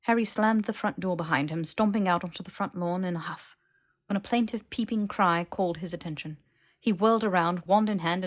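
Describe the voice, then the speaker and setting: A woman reading fan fiction aloud as an audiobook narrator, in an expressive storytelling style. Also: medium pitch.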